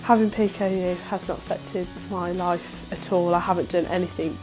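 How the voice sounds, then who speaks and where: Young woman in her 20s, lowish voice, upbeat and matter-of-fact, soft music behind. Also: fairly even intonation, normal speed, rough recording. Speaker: interviewee, nurse. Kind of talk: documentary interview.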